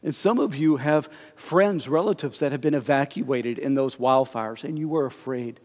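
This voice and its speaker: A middle-aged man, a pastor, preaching a conversational, expressive sermon while standing before the altar. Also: medium pitch, moderate pace. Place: church sanctuary before the altar. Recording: long reverb of a church sanctuary.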